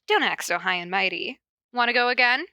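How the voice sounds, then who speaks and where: A woman's voice: a little high, animated, a little fast, sharp tone, no room sound, voice pushed up. A woman voicing a volatile young male brawler, playful and threatening, in a story reading.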